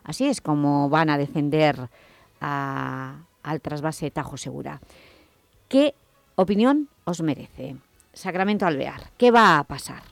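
A woman hosting a radio debate, briskly asking her panelists questions. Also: low voice, vocal fry, no room sound. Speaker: radio host.

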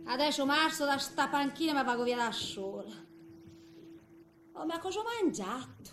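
A roomy stage recording with music behind a very old woman. She is frail and muddled, rambling slowly in a high voice, as in a theatre monologue. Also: lively intonation, a bit bright. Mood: groggy, confused. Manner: rambling, bewildered, muttering to herself. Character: confused frail eighty-year-old grandmother in a care home.

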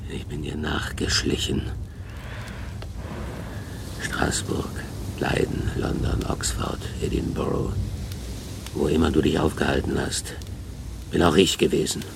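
A very deep, slow monster in a radio play. The voice is full of gravel, often sinking to a whisper, bitter and threatening, with clear noise behind it. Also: male voice, flat delivery, full character voice. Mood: bitter menace. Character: vengeful man-made monster, bitter, menacing, wronged by its maker. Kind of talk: radio drama acting.

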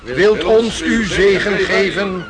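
Very slow, solemn praying seaman. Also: sits high, a loud crowd, poor audio. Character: solemn seaman leading the crew in prayer. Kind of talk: radio drama acting. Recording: clear noise behind.

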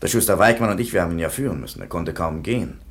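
A man's voice: deep voice, very fast. An actor playing a perplexed, somewhat dismissive police commissioner giving his report in a radio play. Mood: bemused, recounting. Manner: animated storytelling, dismissive.

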